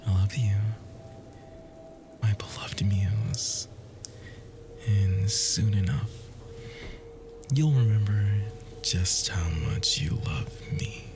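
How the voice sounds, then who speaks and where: A deep-voiced, obsessive immortal lover performed by a man murmurs cruel endearments in a breathy half-whisper. He takes his time, wounded and possessive, as in an intimate ASMR drama, with clear music underneath. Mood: menacing, adoring. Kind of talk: ASMR roleplay acting. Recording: music bed behind the voice.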